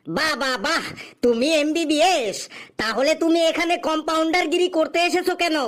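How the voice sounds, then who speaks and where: A man's voice: very high voice, moderate pace, fairly bright tone. A man voicing a self-important doctor in a comic cartoon, formal, questioning and easily affronted. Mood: puzzled, affronted. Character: self-important male doctor interviewing a job applicant.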